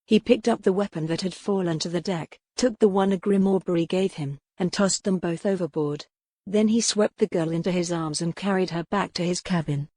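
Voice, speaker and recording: Low-voiced woman narrating a romance novel. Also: moderate pace, a little mellow, no echo. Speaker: audiobook narrator. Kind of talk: dramatic scripted narration of a historical romance novel.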